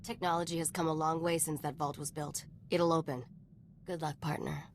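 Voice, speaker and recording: An actress voicing a blunt, self-serving woman smuggler in a video game, brisk and matter-of-fact. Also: sits low, flat delivery, a little hiss or hum. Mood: brisk, businesslike. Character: hard-bitten, self-serving woman smuggler partner, unapologetic.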